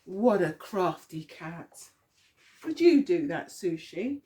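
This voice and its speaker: A woman teacher reading a picture story aloud to young children, expressively, often pausing to put questions to them. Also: lowish voice, animated, warm tone, slight background noise.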